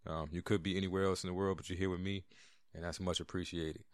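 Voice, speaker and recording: Man, podcast host, deep voice, rambling casual chat, dry sound. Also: flat delivery, fast, no room sound. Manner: laid-back, rambling with restarts.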